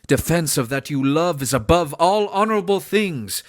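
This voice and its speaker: A man's voice: fairly high pitch, expressive, moderate pace, no room sound, natural voice. Man acting a stern, commanding duke, dry sound. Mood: rousing, commanding. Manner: authoritative, rhetorical, formal period diction. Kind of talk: stage-play acting in an audio drama.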